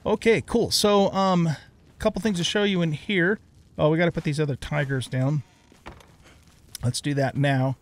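A man's voice: on the high side, steady tone, normal speed, pauses often. An older man with an American accent giving casual let's play commentary in a folksy, easygoing way, thinking aloud as he goes. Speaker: gaming video host.

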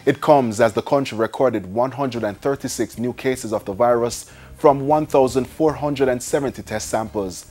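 A man's voice: mid-range voice, moderate pace, darkish tone, soft background music. A man with a Jamaican accent reading scripted news as a studio anchor.

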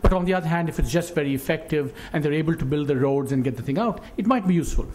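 A middle-aged man speaks on a conference panel in a fairly high voice. He is emphatic and halting, repeating words as he goes. The recording has clear background noise. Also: lively intonation, darkish tone. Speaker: panelist, economist. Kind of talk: panel discussion remarks. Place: indoor conference panel stage. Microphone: gooseneck table microphone.

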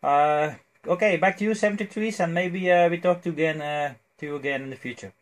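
Belgian male radio hobbyist, slightly high voice, hesitant casual delivery. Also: a little fast. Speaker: amateur radio operator. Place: indoors at a cluttered electronics desk. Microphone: cell phone near the desk.

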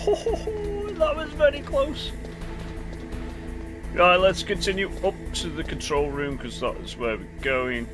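A man's voice: sits high, sing-song, slow. A man hosting a gaming channel, chatting and exclaiming as he comments on his gameplay. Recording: game music behind voice.

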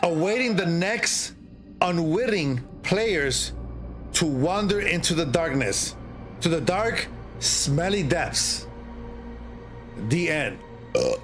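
A middle-aged man reading a horror story aloud in a theatrical, halting way, often repeating phrases. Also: fairly high pitch, big pitch swings, music in the background. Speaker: streamer, horror story reader. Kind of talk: dramatic storytelling read aloud.

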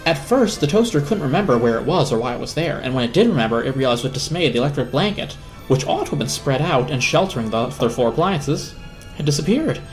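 A man's voice: medium pitch, animated, fast, soft background music. A man narrating a children's story as a dramatic reading.

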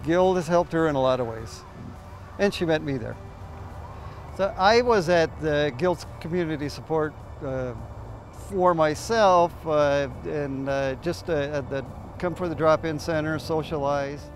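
Halting American man, slightly high voice. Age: middle-aged. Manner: halting, plain-spoken. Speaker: client's partner. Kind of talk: interview testimonial. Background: soft music.

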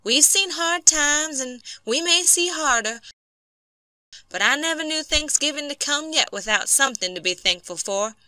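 A woman voicing Nan, a frail, hopeful young frontier wife, pleading and soft. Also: high-pitched, animated, normal speed, dry recording, voice pushed up, exaggerated voice. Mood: tender.

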